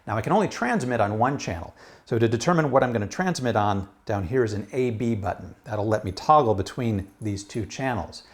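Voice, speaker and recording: Low-voiced man reviewing gear casually. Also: expressive, fairly quick. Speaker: product reviewer.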